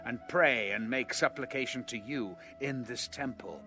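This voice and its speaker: A man narrating scripture from a script in a measured, solemn manner. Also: mid-range voice. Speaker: audio Bible narrator. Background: music.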